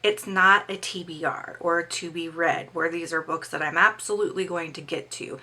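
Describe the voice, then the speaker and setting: Chatty middle-aged woman, bright and a bit hoarse. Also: on the low side, steady tone, moderate pace. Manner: chatty, reads book blurbs aloud. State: slightly hoarse. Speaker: book vlogger. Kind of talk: casual book chat and blurb reading.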